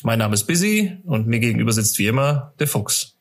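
A man's voice: mid-range voice, sing-song, brisk. A man hosting a podcast in an informal, colloquial chat with a jokey manner. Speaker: podcast host. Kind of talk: casual chat.

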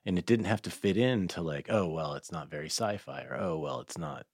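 A man's voice: low-pitched, a little fast, slightly rough, no room sound, clean studio sound. A man hosting an interview podcast in a casual, conversational way, often rephrasing as he goes. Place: studio.

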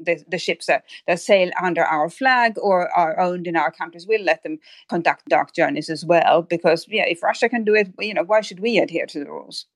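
Woman expert doing interview analysis, lowish voice, dry close sound, repeats words while she thinks. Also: moderate pace, few pauses, dry recording. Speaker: expert guest on maritime affairs.

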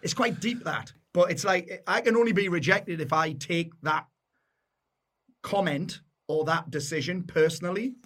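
A Geordie man with a deep, loud voice and a blunt, matey way of talking. He chats casually as a guest, swearing freely. His voice sits a little higher than most men's. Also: moderate pace. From North East England. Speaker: podcast guest. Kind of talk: casual chat.

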